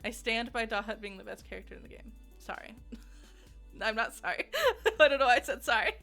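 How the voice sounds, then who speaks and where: A woman's voice: a little high, normal speed, creaky, pushed and bright, soft background music. A woman giving a casual, conversational video game review in a giggly, self-deprecating manner.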